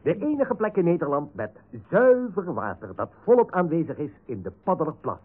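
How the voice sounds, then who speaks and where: An actor playing a wry, chatty storyteller, narrating a comic radio serial in a husky voice with playful asides. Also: male voice, a little high, big pitch swings, fairly quick. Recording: tinny narrow-band radio recording.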